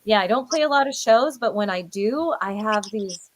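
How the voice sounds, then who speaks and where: A woman's voice: medium pitch, lively intonation, normal speed, slight background noise. A woman from North Dakota co-hosting a casual live-stream chat, upbeat and chatty. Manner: chatty, upbeat, laughs often. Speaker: co-host.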